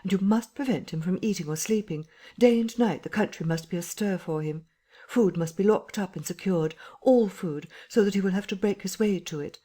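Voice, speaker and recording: A woman's voice: medium pitch, moderate pace, mellow, voice pushed up, lightly acted. Woman doing a frantic guy barking orders, voice pushed way up and hard, now and then dropping to a hiss. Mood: urgent. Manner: urgent, pressing, breathless commands. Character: agitated man, urgent and insistent.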